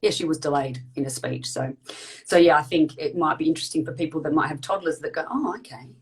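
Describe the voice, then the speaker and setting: A woman in her thirties hosting a casual live video chat, chatty and quick, with lots of fillers. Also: deep voice. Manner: chatty, talks fast with many fillers. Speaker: host.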